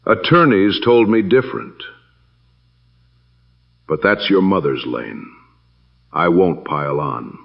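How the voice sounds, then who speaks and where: A man voicing a hurt, steadfast estranged father in an audio story, earnest, measured and emphatic. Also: low-pitched, vocal fry, lo-fi sound, full character voice. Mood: restrained, careful. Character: wounded, steadfast estranged father defending himself.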